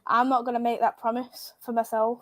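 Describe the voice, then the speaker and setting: A woman's voice: a little high, level tone, brisk. A woman hosting a football watchalong, giving earnest match analysis and teasing her co-host. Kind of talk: casual football chat.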